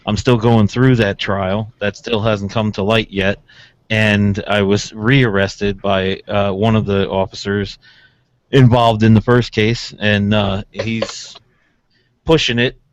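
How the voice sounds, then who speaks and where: A man's voice: on the low side, level tone, takes it slowly. An American man hosting a radio show in casual chat, rambling informally through his stories. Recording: dull, lo-fi audio.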